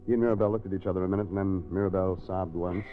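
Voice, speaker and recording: An actor playing a wry American private detective, terse and deadpan, in a scripted radio drama. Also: male voice, low-pitched, very fast, lightly acted. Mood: narrating grimly. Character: wry, hardboiled American private detective narrating his case. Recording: tinny old radio broadcast recording.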